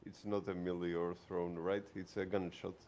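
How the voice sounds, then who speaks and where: A man's voice: low voice, little pitch movement, moderate pace, a little mellow. A man playing a tabletop roleplaying game, chatting casually about the rules.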